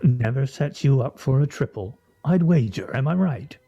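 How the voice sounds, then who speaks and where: An actor playing a pompous, sardonic husband in a radio play, speaking in a wry, theatrical, mock-formal way. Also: male voice, medium pitch, expressive, normal speed, dark tone, slightly rough, faint music behind. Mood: dry teasing. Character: pompous, sardonic husband at a weekly scrabble game.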